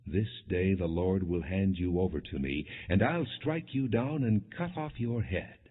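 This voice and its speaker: A man's voice: low voice, lively intonation, measured pace, slightly rough, exaggerated voice. A man reading the words of a bold, devout young shepherd warrior in an earnest, declaiming way for an audiobook. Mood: defiant. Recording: muffled, phone-like narrow band.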